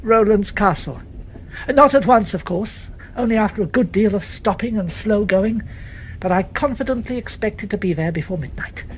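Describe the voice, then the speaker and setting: A woman voicing a chatty, gallant young English gentleman who talks in a breezy, teasing way. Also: mid-range voice, animated, moderate pace, a little hiss or hum, pitched above the performer's own voice, natural voice, low-quality recording.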